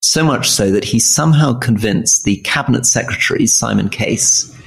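A man co-hosting a political podcast, speaking in a measured, articulate way with enthusiastic reactions. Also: medium pitch, creaky. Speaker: podcast co-host. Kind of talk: casual political chat.

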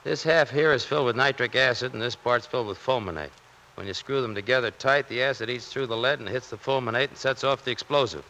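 A man's voice: medium pitch, fast talker, bright, forceful tone, a little hiss or hum, poor audio. An actor playing a cocky, defiant Navy seaman with an American accent and a brash, insistent manner, in 1940s radio drama style. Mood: explaining.

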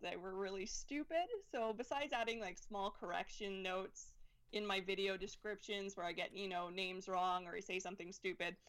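A woman's voice: medium pitch, fairly even intonation, moderate pace, fairly bright tone. A woman from Alberta with a Canadian accent, answering interview questions about her political videos with hedging and frequent restarts. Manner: hedging, self-deprecating, many restarts. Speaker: political video creator, guest.

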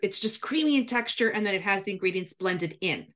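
A woman's voice: medium pitch, lively intonation, fairly quick, fairly bright tone. A woman guest giving cooking advice in a chatty, instructive radio style, often checking in with "right?". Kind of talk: explanatory radio chat.

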